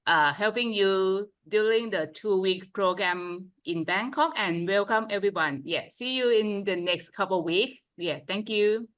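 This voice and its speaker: A woman's voice: medium pitch, measured pace, hardly any pauses, fairly bright tone. A woman from Thailand, a welfare officer, introducing herself in a hesitant, friendly way.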